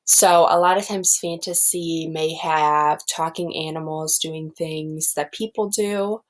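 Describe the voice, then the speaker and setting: A woman's voice: deep voice, fairly even intonation, takes it slowly, rough recording. A woman teacher explaining things simply to young children and reading a storybook aloud.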